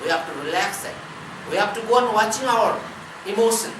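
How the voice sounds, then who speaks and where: A woman as a spiritual teacher giving a discourse, measured, speaking in short listed phrases. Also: mid-range voice, animated. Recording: roomy, distant mic, ambient noise.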